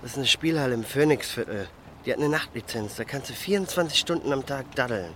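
A man's voice: medium pitch, expressive, fast talker, fairly bright tone, a little hiss or hum, lightly acted. A man playing a casual, eager drinking and gambling buddy in a colloquial radio play scene. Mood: casual, enticing.

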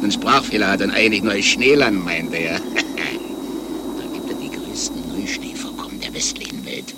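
An actor playing a taunting crime boss villain in a satirical radio play, colloquial and fond of tag questions. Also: male voice, on the low side, gravelly, very noisy. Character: gloating male crime boss villain, smug then cornered.

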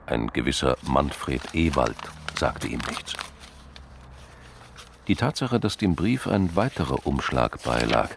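Super deep gravelly guy narrating a radio crime drama, sober, deliberate, bit of background noise. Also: heavily acted.

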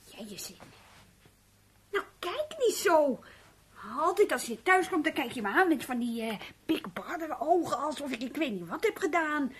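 High, flustered, fussy housewife. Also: female voice, normal speed. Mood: flustered, defensive. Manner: stammering, excitable, fussing. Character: flustered, chattering, fussy housewife defending herself to husband. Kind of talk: Dutch radio play acting.

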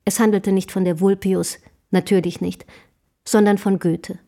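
An actress as a young Romantic-era woman writer, ardent and defiant. She speaks in an effusive, self-dramatizing way with formal, old-fashioned wording, at a medium female pitch, as in a radio play. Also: level tone, normal speed, mellow, close to an everyday voice. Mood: wistful, proud narrating. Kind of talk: German radio drama acting.

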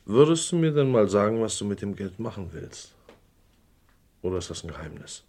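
A middle-aged businessman in a radio crime play, with a fairly low voice. He talks in a measured, persuasive way, coaxing and evading as the pressure sits on him. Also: sing-song, darkish tone. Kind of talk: German radio crime drama acting.

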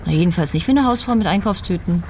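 An actress playing a shrewd, self-doubting widow in a radio crime drama, with a dry, self-deprecating manner. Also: female voice, lowish voice, lively intonation, quick pace, darkish tone, a little hiss or hum. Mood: self-mocking. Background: clear music.

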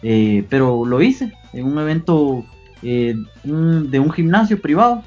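A man in his twenties from Guatemala with a Guatemalan Spanish accent, answering interview questions earnestly, pausing often with fillers. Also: on the high side, big pitch swings, a little mellow, lo-fi sound, soft background music. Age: 20s. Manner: earnest, pauses with many fillers. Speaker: guest athlete.

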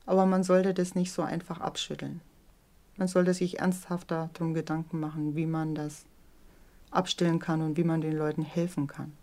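A woman's voice: low voice, flat delivery, moderate pace, darkish tone. A middle-aged woman giving personal testimony in a documentary interview, speaking in an emphatic, plain-spoken way. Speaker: interviewee, mother of a teenager.

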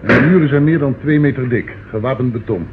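An actor in a radio play playing a formal bank manager. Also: male voice, medium pitch, a little fast, natural voice. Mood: puzzled, formal. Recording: tinny, faintly noisy old radio recording.

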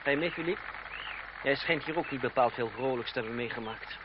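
An actor playing a sharp-tongued, bitter but loyal young gentleman in a Dutch radio play, theatrical and mocking. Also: medium pitch, fairly quick, natural voice. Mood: sardonic. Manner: sardonic, theatrical. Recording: dull, noisy old radio play recording.

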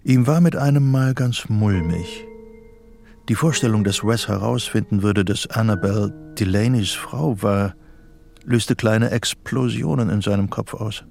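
An actor narrating a psychological thriller radio play as a grave storyteller. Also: male voice, fairly low pitch, unhurried, creaky, a little mellow, soft background music. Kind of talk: scripted narration in a radio drama.

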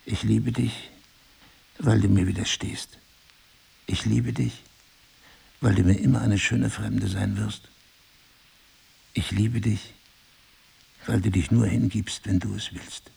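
An actor playing a remorseful middle-aged husband in an audio drama, speaking in a husky, gravelly, deliberate and intimate way. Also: male voice, fairly low pitch, fairly even intonation, a little mellow, exaggerated voice. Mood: earnest confession. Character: remorseful, pleading husband, brooding and passionate.

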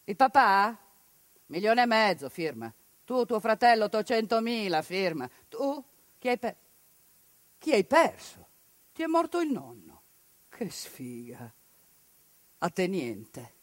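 A woman's voice: mid-range voice, big pitch swings, slow pace, pauses often, no room sound. Brusque callous official, curt barked orders, mocking, dry close sound, as a woman acts it out. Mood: brusque, contemptuous. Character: callous official buying off grieving survivors.

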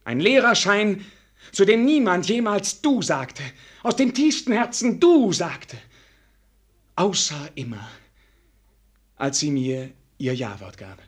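An actor playing a melancholy young prince in a radio drama, weary of courtly formality and declaiming with theatrical bitterness. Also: male voice, high-pitched, big pitch swings, slow, long pauses, exaggerated voice. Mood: bitter, anguished. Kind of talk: German radio drama acting.

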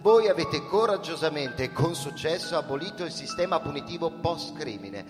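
A male actor plays a young, ambitious second-in-command with a fairly high, very animated voice. He is self-assured and slightly ingratiating in theatrical radio-drama dialogue, ringing in a long hall reverb. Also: normal speed, natural voice. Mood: keen, assured. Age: young adult. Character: confident, ambitious young male deputy, smoothly deferential. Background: music.

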